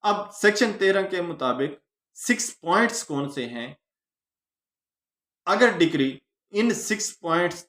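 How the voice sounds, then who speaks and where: Slow-paced Pakistani man lecturing in echo. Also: fairly high pitch, pushed and bright. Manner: didactic, emphatic stress on phrases, mixes in English legal terms. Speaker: law lecturer. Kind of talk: instructional lecture, posing questions then answering them. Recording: roomy, echoing voice recording.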